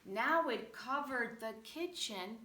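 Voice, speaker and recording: A woman reads a children's rhyming picture book with animated, rhythmic expression. Her voice is bright and on the high side, and she takes her time over each phrase. The room adds noticeable echo. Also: slow pace. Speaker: storybook reader. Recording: roomy echo, faint background noise.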